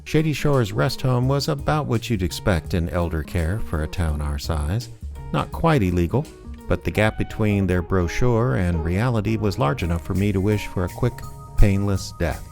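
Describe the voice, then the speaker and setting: An actor playing a wry, grumbling small-town private detective in an audio drama, deadpan and sardonic. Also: male voice, fairly low pitch, normal speed, vocal fry, faint music behind. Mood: wry narrating. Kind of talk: audio drama acting with noir-style narration.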